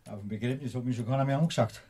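An actor playing a remorseful hunter in a classic radio play, speaking earnestly in a pained, slightly husky voice. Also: male voice, mid-range voice, racing, dark tone.

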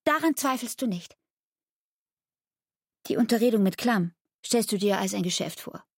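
Hurt young barmaid in a radio play, accusing, deliberate, bit breathy, really dry close sound. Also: female voice, mid-range voice, lively intonation, no room sound. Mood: wounded, accusing. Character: hurt, reproachful young barmaid confronting her lover. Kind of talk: literary radio drama acting.

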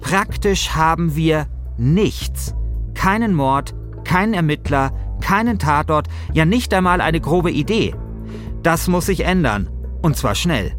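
A man's voice: on the high side, lively intonation, takes it slowly, fairly bright tone, soft background music. A man, narrating a podcast from a script as its host.

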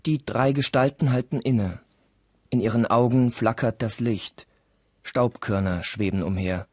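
A man narrates a radio play in a deliberate, dramatic way. His voice sits at a medium pitch and he takes his time over the words. The recording is dry, with hardly any room around it. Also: a little mellow, no room sound.

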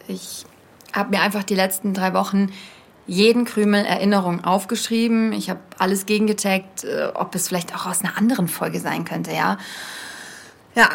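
An actress playing an earnest young podcaster, speaking in a casual, slightly hesitant storytelling style. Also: female voice, mid-range voice, vocal fry, fairly bright tone. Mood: casual, confiding. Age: young adult. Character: earnest young female podcaster haunted by a childhood memory. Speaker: podcast host and narrator. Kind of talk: conversational first-person audio drama storytelling.

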